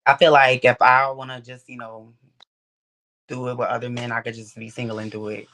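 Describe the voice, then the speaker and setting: A young man in his twenties from New Orleans with a gravelly, bright edge to his voice. He talks in a relaxed, slangy way and lets his sentences trail off with "you know". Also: medium pitch, a little fast. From New Orleans, USA. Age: 20s. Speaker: guest contestant. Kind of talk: casual chat.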